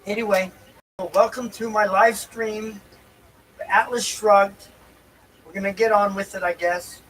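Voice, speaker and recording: A man's voice: high voice, fairly even intonation, measured pace, fairly bright tone, rough recording. A man hosting a livestream in rambling, unscripted talk, loosely talking things through to himself and repeating phrases. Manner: loose, talks to himself while setting up. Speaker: livestream host.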